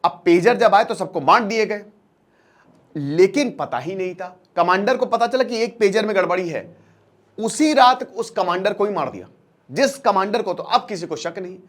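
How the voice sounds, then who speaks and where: A man's voice: high-pitched, animated, normal speed. A man giving an informal current-affairs lecture as a storyteller, talking directly to listeners in colloquial language and repeating phrases for emphasis. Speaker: current affairs educator and channel presenter. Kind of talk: informal explainer lecture, storytelling with acted-out dialogue.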